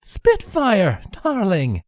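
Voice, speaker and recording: A woman's voice: mid-range voice, wildly swooping pitch, drawn-out words, darkish tone, no echo, studio quality, pitched above the performer's own voice, full character voice. A woman voicing a genteel, aged pegasus mother with a refined, assured manner in a story. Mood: fond.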